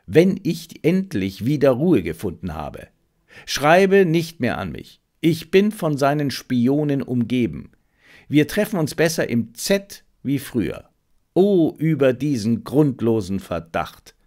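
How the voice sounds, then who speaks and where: A male narrator reads as an anxious, secretive baroness in a detective audiobook. The letter is delivered formally and slowly, with a lively range of pitch. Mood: guarded, pleading. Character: secretive, anxious baroness writing to her servant.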